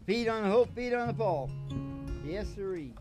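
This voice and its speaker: Male radio host, higher-pitched, folksy and irreverent, rambling casual talk, acoustic guitar around. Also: expressive, moderate pace. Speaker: singing radio show host.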